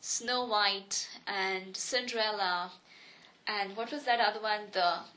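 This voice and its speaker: A woman's voice: medium pitch, steady tone, measured pace, sharp tone, poor audio. A woman in her thirties from India, speaking, telling her life story earnestly and reflectively in an interview. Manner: earnest, reflective, with frequent restarts. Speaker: interviewee, economics professor. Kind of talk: personal oral history interview.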